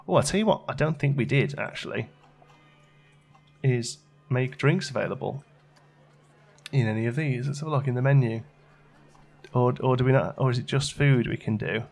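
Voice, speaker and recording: A man's voice: mid-range voice, vocal fry. A man giving casual let's play commentary, chatty and thinking aloud as he plays. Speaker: gaming channel host.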